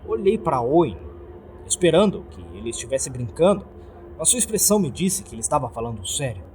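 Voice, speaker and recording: A man's voice: fairly high pitch, big pitch swings, a little fast, a little mellow. A man with a Brazilian accent reads a scripted horror story as a dramatic narrator. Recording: faint background noise.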